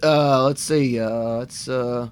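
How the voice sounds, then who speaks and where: A man's voice: mid-range voice, steady tone, slow pace, clean tone, no echo. A man doing comedic live race commentary, rambling with many fillers and restarts.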